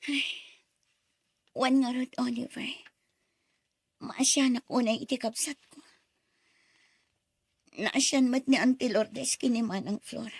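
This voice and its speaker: An actress playing an emotional, earnest wife in a radio drama, speaking with an Ilocano accent. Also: female voice, a little high, fairly even intonation, slow pace, creaky, long pauses, husky, no echo, exaggerated voice. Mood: subdued, reflective.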